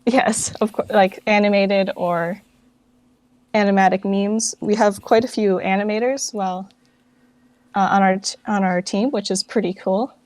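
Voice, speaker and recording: A woman speaks as a guest in a casual interview, in an informal way full of "like". Her pitch is average for a woman and the sound is dry, without room echo. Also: steady tone, measured pace, a little mellow. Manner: informal, filler-heavy with frequent "like". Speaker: guest, fan project director.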